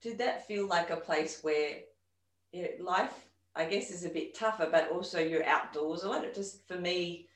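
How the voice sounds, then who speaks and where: Deep-voiced woman hosting a podcast. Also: moderate pace. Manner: chatty, encouraging interviewer. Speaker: podcast host. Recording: echoey room, faint music.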